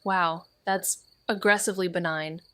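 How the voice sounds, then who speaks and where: A woman's voice: on the low side, fairly even intonation, moderate pace, a bit bright, clear, resonant tone, dry recording, natural voice. A woman voicing a wry young amateur sleuth who narrates a noir audio drama with dry, sardonic remarks. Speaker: narrator and investigator.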